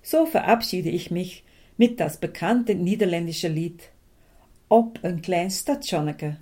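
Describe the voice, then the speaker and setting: Woman, Dutch speaker, low mellow voice, calm radio storyteller. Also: unhurried. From Belgium. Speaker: radio presenter. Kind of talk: personal radio talk.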